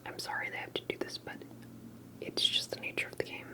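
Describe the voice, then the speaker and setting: A woman performing a whispered ASMR roleplay as a secretive, cautious investigator, speaking in a hushed and hesitant way with soft pauses. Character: secretive, cautious investigator interviewing an alien abductee.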